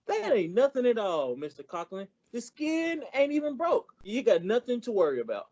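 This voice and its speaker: A man's voice: very high-pitched, wildly swooping pitch, moderate pace, darkish tone, heavily acted. Man playing an eager, dim schoolboy, lo-fi. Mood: cheerful. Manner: folksy, ungrammatical, cheerful. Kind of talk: old-time radio comedy acting. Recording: dull lo-fi recording.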